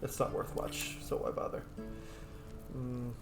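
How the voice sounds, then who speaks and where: A man giving casual gameplay commentary, muttering and talking to himself as he plays. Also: unhurried. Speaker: game streamer doing a playthrough. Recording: game music behind voice. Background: video game music.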